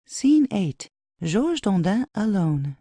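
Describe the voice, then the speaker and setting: A woman narrates a play script, reading scene headings and stage directions. Her voice is mellow and melodic, recorded dry and close. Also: mid-range voice, big pitch swings, takes it slowly, breathy edge, no echo.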